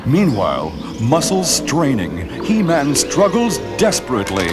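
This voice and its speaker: A man's voice: mid-range voice, huge pitch swings, unhurried, over loud music. A man playing the dramatic narrator of a heroic children's adventure, reading scripted story.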